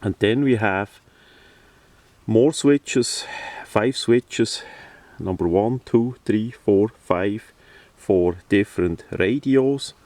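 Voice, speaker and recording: Slow, low-voiced man, halting delivery. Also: a little mellow, no echo. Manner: halting, hesitant, matter-of-fact delivery. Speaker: hobbyist presenter. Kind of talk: casual hands-on product demonstration. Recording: distant microphone.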